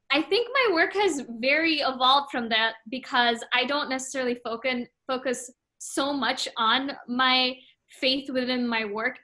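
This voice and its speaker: A woman's voice: a little high, sharp tone. A woman from Malaysia in her late twenties, answering interview questions about her art in a thoughtful, casual way, with many filler ums. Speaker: interviewed artist.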